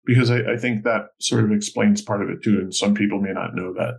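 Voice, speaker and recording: Male interviewer, creaky low-end fry, conversational business-talk delivery. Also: fairly even intonation, brisk. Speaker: interviewer, host.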